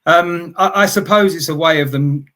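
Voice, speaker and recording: A man in casual discussion, explaining in a hesitant, halting way. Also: a little high, sharp tone.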